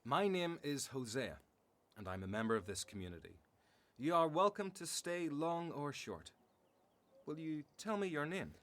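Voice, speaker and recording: A man's voice: on the high side, lively intonation, normal speed, fairly bright tone. A man acting in a radio Bible play as a courteous, hospitable member of an ascetic desert community. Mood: welcoming, hospitable. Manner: courteous, welcoming.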